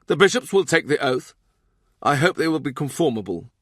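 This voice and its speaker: Man acting out an imperious English king, pitched up. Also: expressive, fairly quick, dry recording, clean studio sound. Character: commanding, aggrieved middle-aged English king in a historical novel.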